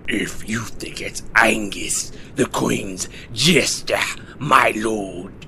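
An actor playing a gruff, deferential henchman in a fantasy audio drama. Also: lowish voice, drawn-out words, noisy background, heavily acted. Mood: dutiful.

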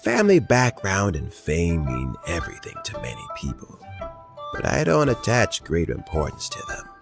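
A man's voice: on the low side, creaky, exaggerated voice. A man voicing a thoughtful, dignified elderly statesman in a video game, with a grave, formal delivery. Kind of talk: video game dialogue acting. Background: game music.

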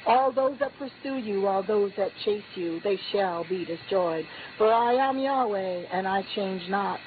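A woman's voice: medium pitch, measured pace. Woman, prophetic first-person declarations, solemn repeating cadence, thin telephone sound. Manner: solemn, repetitive declaring cadence, lifting on key phrases. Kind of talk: prophetic utterance spoken as God. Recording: tinny phone-like line with faint hiss.

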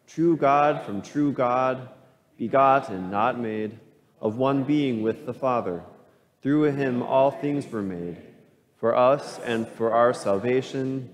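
A man leading a congregation through a creed, reciting slowly and evenly in worship. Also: mid-range voice. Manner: slow, measured liturgical recitation. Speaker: pastor. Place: church sanctuary. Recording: long church reverb.

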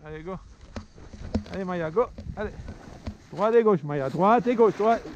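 A man with a high voice calls out short, repeated, encouraging commands as he coaches his children down the slope. He is filming with an action camera while he skis outdoors. The sound is dull and lo-fi, with faint wind behind him. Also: lively intonation, measured pace. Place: outdoor snowy mountain ski slope and forest. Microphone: action camera worn while skiing.

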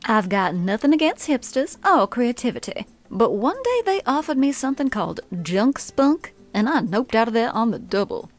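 A woman's voice: fairly high pitch, big pitch swings, moderate pace, hardly any pauses, soft background music. A woman voicing a flirtatious belle in a video game, calling everyone sugar and darling. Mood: flirty. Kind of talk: video game character dialogue.

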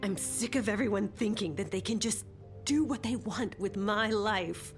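A woman's voice: mid-range voice, moderate pace, natural voice. A woman plays an anguished, guilt-ridden woman in a dramatic game scene. Her delivery is emotional and reproachful, with music behind her voice. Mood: indignant, hurt. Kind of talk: dramatic video game acting.

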